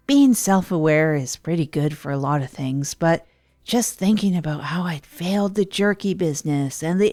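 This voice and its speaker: A woman's voice: medium pitch, darkish tone, lightly acted. A woman playing a self-deprecating failed entrepreneur hosting a comedy radio show, rambling and hesitant as they confess. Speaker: host of an advice radio show. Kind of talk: improvised comedy monologue in an audio drama.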